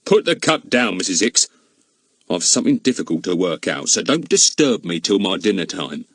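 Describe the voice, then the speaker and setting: A man voicing a pompous, slow-witted middle-aged village policeman who blusters and is easily flustered. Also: sits low, very animated, no room sound. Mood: blustering. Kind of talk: audiobook character voice.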